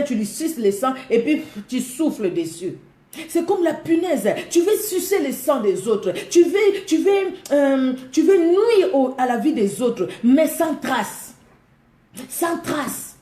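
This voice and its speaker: A woman's voice: high voice, warm tone. A woman from Congo with a Congolese French accent, preaching a sermon emphatically, repeating key words and laughing often. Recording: echoey room.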